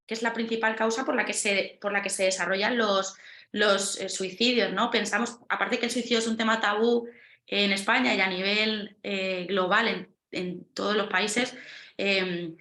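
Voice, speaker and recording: A woman's voice: mid-range voice, steady tone, normal speed, sharp tone, echoey room. A woman on a webinar panel explaining her points, often closing them with a questioning tag.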